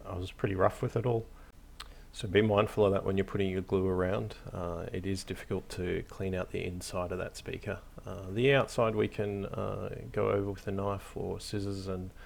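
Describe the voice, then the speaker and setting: Very deep, gravelly man, casual tutorial. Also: darkish tone, slight background noise. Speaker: hobby craft tutorial host. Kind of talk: casual unscripted tutorial narration.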